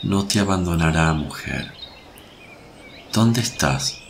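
A solemn male holy teacher played by an actor in a radio play. His low voice moves slowly with compassionate authority, over faint birdsong. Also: lively intonation, gravelly, slight background noise, exaggerated voice. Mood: solemn, gentle. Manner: solemn, measured. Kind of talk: Spanish-language radio play acting.

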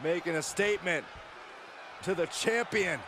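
A man's voice: high-pitched, unhurried. A man voicing a reactive, conversational wrestling analyst in a video game. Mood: observant. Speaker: color commentator. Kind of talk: sports commentary in a video game. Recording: noisy arena crowd behind commentary.

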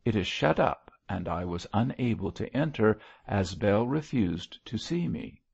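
Man playing young male parson, pious, gentlemanly, nervous, formal, expressive pitch, measured pace, storybook character. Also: creaky, slightly rough, dull audio, heavily acted. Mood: solemn, earnest.

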